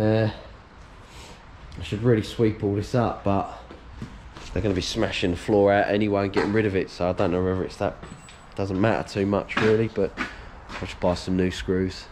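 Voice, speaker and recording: A man in his thirties, slightly hoarse, chatting casually to a head-mounted camera while he strips out his workshop. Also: fairly low pitch, fairly even intonation, normal speed, a little mellow, slight background noise. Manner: chatty, upbeat, matter-of-fact while working. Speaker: workshop owner vlogging. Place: large workshop being stripped out, indoors. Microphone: head-mounted action camera.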